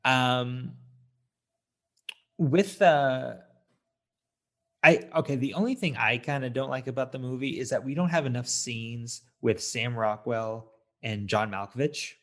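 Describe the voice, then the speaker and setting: A man's voice: mid-range voice, a little slow, pauses often. A man co-hosting a movie review podcast, talking in a casual, opinionated way. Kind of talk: casual film discussion.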